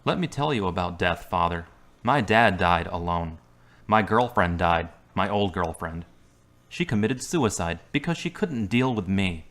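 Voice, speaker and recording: A guarded young man, as a man acts him out, speaking flat and terse in a lowish voice. His replies come short and blunt, holding people at arm's length. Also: unhurried, heavily acted.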